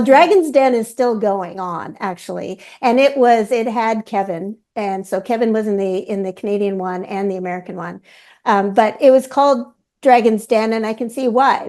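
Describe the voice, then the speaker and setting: A woman hosting a webinar in a relaxed, rambling way, often correcting herself mid-sentence. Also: medium pitch. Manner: rambling, self-correcting, chatty. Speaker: webinar host. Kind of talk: casual panel discussion.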